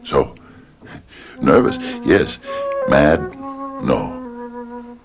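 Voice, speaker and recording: An actor playing a nervous, unhinged middle-aged husband in a radio drama, halting and agitated, often repeating himself. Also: male voice, rumbling low, huge pitch swings, vocal fry, highs cut off, loud music behind the voice, exaggerated voice. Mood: agitated, insistent.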